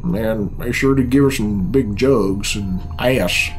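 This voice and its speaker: A man's voice: lowish voice, slow, low-quality recording. A middle-aged man giving casual, unscripted reaction commentary in a blunt, sweary, bemused way, recorded at a computer in a room at home. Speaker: reaction video host. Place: small room at home, indoors. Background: game cutscene music.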